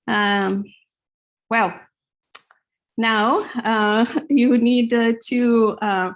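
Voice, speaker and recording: A middle-aged woman with a Hebrew accent giving a university lecture in a large hall, halting with many fillers. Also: a little high, fairly even intonation. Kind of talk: classroom lecture.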